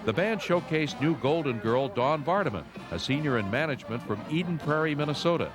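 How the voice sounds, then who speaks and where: A man's voice: a little high, animated, a little fast. A man with an American accent reading scripted newsreel narration. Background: music.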